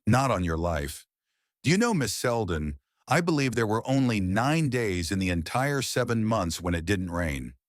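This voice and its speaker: A man acts out a middle-aged English doctor from an old pulp tale. He is dry and good-humored, speaking in wry understatement. The voice sits low with animated intonation. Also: normal speed, dry recording. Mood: grumbling.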